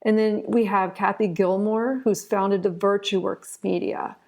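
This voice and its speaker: A woman talks as an interview guest in an earnest, conversational way. Her voice has a noticeable creak at a medium pitch for a woman. She leans on key words and repeats them for emphasis. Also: fairly even intonation, unhurried, a little mellow.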